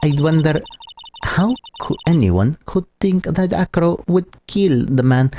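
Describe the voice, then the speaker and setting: A man voicing a haughty, taunting courtroom prosecutor in a video game, deliberate and condescending. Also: on the high side, expressive, mellow, slight background noise. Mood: smug. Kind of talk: reading game dialogue in character.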